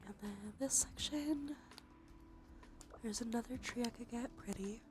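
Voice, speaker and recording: A woman's voice: a little high, measured pace. A woman livestreamer giving whispered ASMR commentary on a game, murmuring as she thinks aloud. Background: music.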